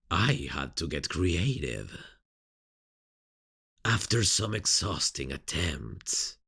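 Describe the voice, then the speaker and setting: Guy doing a super deep raspy villain, taunting and gloating, theatrical drawn out asmr roleplay. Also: a bit bright, full character voice. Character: menacing, gloating criminal villain, back from near death.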